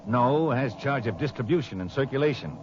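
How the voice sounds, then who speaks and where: A man's voice: mid-range voice, expressive, a little fast, natural voice. An actor playing an eager, conversational male sheriff in a 1950s radio drama. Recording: dull old radio transcription with faint hiss.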